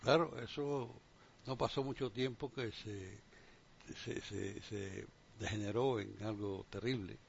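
A man's voice: fairly low pitch, fairly even intonation, slow pace, creaky, dull audio, a little hiss or hum. A man from Cuba with a Cuban accent talking casually and unscripted, often repeating words and restarting his phrases.

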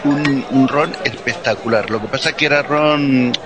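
Male, medium pitch, relaxed conversational talk over drinks, loud crowd chatter around him. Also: normal speed. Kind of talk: casual chat over drinks.

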